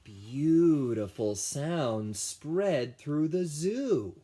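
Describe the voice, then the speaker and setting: A man who teaches children music, reading a picture book aloud in a deliberate, animated storytelling style. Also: a little high. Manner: slow, deliberate storytelling with sung and imitated sounds.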